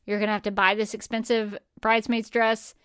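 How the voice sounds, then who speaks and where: A woman's voice: mid-range voice, little pitch movement, fairly quick, fairly bright tone, smooth, no echo, studio quality. A woman co-hosting a podcast in a casual, chatty and emphatic way, often acting out imagined remarks. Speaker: podcast co-host. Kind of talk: casual chat.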